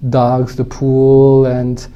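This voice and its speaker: A man with a French accent, a French native speaker, telling his life story in a relaxed interview, hesitating often and laughing easily. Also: medium pitch, drawn-out words, clear, resonant tone, muffled recording. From born in El Salvador, raised abroad. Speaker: winemaker guest.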